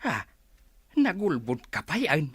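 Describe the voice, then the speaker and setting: A man's voice: fairly high pitch, wildly swooping pitch, slow pace, breathy edge, dry recording, exaggerated voice. A man playing a concerned older father with a Filipino accent in a radio drama, exclaiming and questioning. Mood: suspicious. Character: concerned old father asking after his drinking son.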